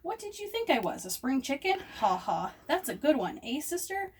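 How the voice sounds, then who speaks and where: A woman's voice: high voice, sing-song, moderate pace, pitched above the performer's own voice. A woman voicing a big, overfamiliar male pig in a storybook, hearty and folksy. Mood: hearty, jokey. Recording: distant microphone, noisy room ambience.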